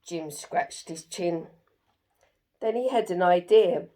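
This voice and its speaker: Slow-paced woman reading a children's story. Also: lowish voice, warm tone.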